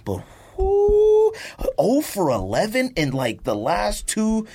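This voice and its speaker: An American man hosting a podcast, talking sports casually in an animated, emphatic, exasperated rant with a husky voice. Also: sits high, slow. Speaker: sports and sneaker podcast host.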